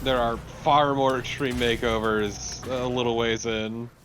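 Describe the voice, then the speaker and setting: A man's voice: mid-range voice, level tone, a little slow, a bit bright. A man in casual co-commentary on a video game, joking emphatically and swearing a lot. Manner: jokey, emphatic, heavy swearing. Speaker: let's play co-commentator. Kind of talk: casual chat over gameplay. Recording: faint background noise.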